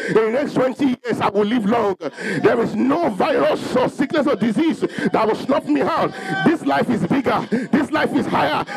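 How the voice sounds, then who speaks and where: Guy preaching a fired up sermon, really high pitched raspy creaky voice, repeats his lines for emphasis. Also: low-quality recording. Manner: emphatic, declarative, repeating phrases for effect.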